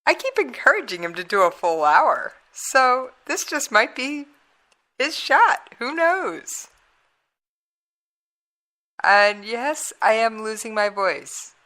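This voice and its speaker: A woman's voice: a little high, big pitch swings, takes it slowly, long pauses, sharp tone. Chatty woman host losing her voice. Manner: chatty, folksy. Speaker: radio show host. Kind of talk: casual radio talk.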